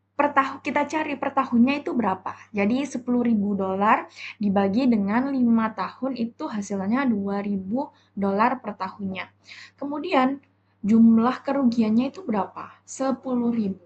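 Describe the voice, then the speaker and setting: A young woman with an Indonesian accent gives a halting, step-by-step explanatory class presentation as a student. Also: on the high side, slightly rough. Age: young adult. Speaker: university accounting student presenter. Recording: dull lo-fi audio, faint background noise.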